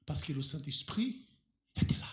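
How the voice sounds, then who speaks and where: A man preaches a sermon whose pitch swings widely as he throws rhetorical questions at his congregation. He speaks as a native Portuguese speaker, and his voice rings through an echoey church hall. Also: lively intonation, normal speed, fairly bright tone. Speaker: pastor.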